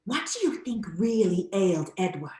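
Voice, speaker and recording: An actress playing an indignant, inquisitive middle-aged sister in an old-time radio gothic drama, with pressing, gossipy questions. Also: female voice, mid-range voice, very animated, normal speed, a little mellow, echoey room, natural voice.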